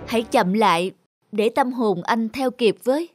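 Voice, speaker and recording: A woman voicing a weary, philosophical male guide in a cartoon dub, speaking slowly and solemnly over music. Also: fairly high pitch, close to an everyday voice. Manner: slow, solemn, deliberate. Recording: music under the voice.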